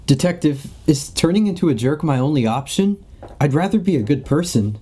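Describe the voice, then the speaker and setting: A man's voice: a little high, lively intonation, fairly quick, dark tone, husky. A young man playing a naive, polite, earnest suspect in a comedy radio play. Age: young adult. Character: mild, naive, polite nice-guy male suspect.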